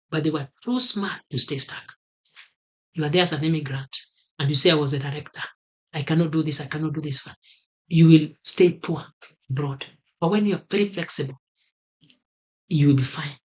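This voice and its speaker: A man from Kenya with a Kenyan accent, talking earnestly to camera and repeating his key advice for emphasis. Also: on the high side. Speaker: vlogger. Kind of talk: motivational talk to camera.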